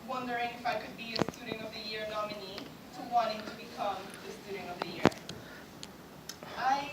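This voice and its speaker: Woman, 20s, Cuban Spanish accent, Spanish native speaker, earnest speech, fairly high voice, distant podium recording. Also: fairly even intonation, fairly quick. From Cuba. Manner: earnest, with light self-deprecating laughs. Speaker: student award speaker. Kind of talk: prepared acceptance speech. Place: large event hall. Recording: echoey hall recorded from far off, noisy.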